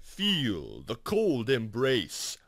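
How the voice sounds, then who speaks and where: A man voicing a cold, evil, possessed undead knight in a slow, menacing manner for a demo clip. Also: huge pitch swings, fairly bright tone, heavily acted. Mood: cold menace. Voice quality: haunted, creepy. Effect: demo takes, some pitch-shifted, phased or echoed.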